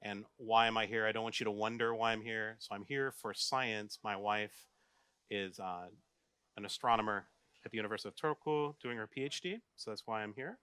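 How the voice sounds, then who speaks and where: An American man gives a loose, joking tech talk and keeps turning questions to his listeners. His voice sits a little low yet sounds bright and forceful, smooth and clean. The sound is dry, with hardly any room in it. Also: a little fast, dry recording. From United States. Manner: chatty, jokey, asks the audience questions. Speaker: software developer giving a tech talk. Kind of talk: casual conference talk. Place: office meeting room, live audience.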